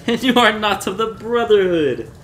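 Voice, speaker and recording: Man acting out a loud, high-pitched drunken nobleman. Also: lively intonation, moderate pace, echoey room, slight background noise. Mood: incredulous, excited. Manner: loud, exclamatory, boastful. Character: drunken, proud, coughing Italian nobleman in jester motley. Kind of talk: dramatic gothic audiobook reading.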